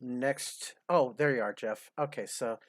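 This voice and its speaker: A man's voice: mid-range voice, measured pace, dry recording, studio quality. A man in his fifties hosting a casual commentary, blunt, sarcastic and profane. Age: 50s. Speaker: host.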